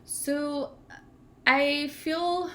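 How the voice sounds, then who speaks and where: A woman's voice: high voice, drawn-out words. A woman in her thirties from Venezuela with a Venezuelan Spanish accent, whose first language is Spanish, chatting casually and rambling on a livestream as a language channel co-host. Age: 30s. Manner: chatty, rambling, laughs often, grows animated when ranting.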